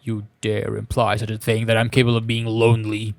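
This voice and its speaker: A man voicing a haughty, menacing female alien creature in a visual novel, with a gravelly growl that turns breathy and slow, formal speech. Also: lowish voice, completely flat delivery. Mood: furious.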